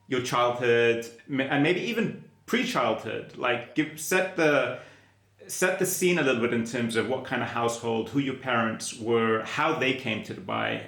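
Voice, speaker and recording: A male podcast host asks long, winding questions and often restarts them as he thinks. His voice sits in a middle pitch, and the room around him rings with noticeable echo. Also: vocal fry, sharp tone. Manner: thoughtful, halting, with many restarts. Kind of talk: interview.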